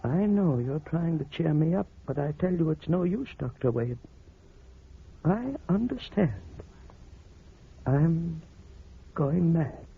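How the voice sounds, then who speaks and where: An actor playing a fragile, despairing husband in a 1940s radio drama, in a strained, halting, theatrical voice. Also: male voice, on the high side, expressive, creaky, breathy edge, heavily acted. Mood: resigned despair. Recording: tinny, narrow vintage radio recording.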